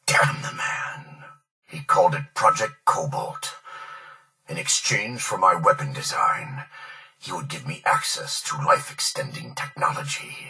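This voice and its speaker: An anguished old tycoon trapped in a life-support machine, with a bright, piercing, forceful edge. His pitch swings widely through grandiose, bitter, self-pitying speech in theatrical game style. He sounds a little far from the mic, with music behind him. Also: vocal fry, exaggerated voice. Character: anguished, proud old tycoon, a head kept alive by machine. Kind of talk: dramatic video game voice acting.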